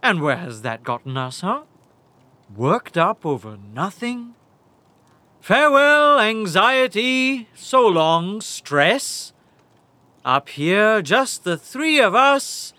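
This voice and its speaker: Slow, high-pitched, menacing warlord. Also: male voice, sing-song, bright, forceful tone, dry recording, heavily acted. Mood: mock relief. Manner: theatrical, mocking, deliberate. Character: paranoid, menacing bandit warlord, self-styled khan. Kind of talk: audio drama acting.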